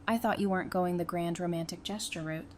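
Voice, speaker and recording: A woman acting an affectionate, gently teasing girlfriend in an audio drama, in a casual conversational manner. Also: lowish voice, steady tone, fairly quick, lightly acted. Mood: loving, teasing. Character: affectionate, teasing girlfriend in a post-apocalyptic romance. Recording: noticeable background noise.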